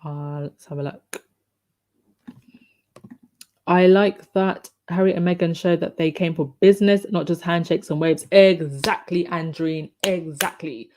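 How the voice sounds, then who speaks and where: A woman with a croaky voice hosting a casual live stream, chatting and reading out viewer comments with many ums and restarts. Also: lowish voice, measured pace, darkish tone, slight background noise.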